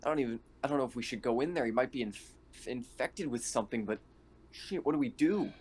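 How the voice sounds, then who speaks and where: A man's voice: medium pitch, quick pace, darkish tone, husky. A man playing a tabletop roleplaying game over online voice chat, chatting casually and jokingly, with some swearing. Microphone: online voice call. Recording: faint noise on online voice chat.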